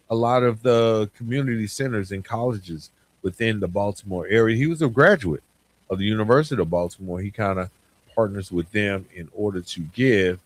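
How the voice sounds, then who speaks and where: Male podcast host, low voice, reflective solo monologue, plain-spoken delivery with pauses for emphasis.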